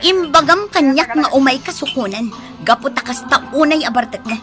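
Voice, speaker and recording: A woman's voice: high voice, animated, fairly quick. An actress playing a hot-tempered, hurt young girlfriend in an Ilocano radio drama. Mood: angry, hurt. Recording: dramatic music behind the voice.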